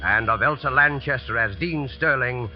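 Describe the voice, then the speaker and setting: A man hosting a mystery show in formal, deliberate 1940s radio style with a dramatic manner. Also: mid-range voice, muffled recording, music in the background. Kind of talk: 1940s radio scripted introduction.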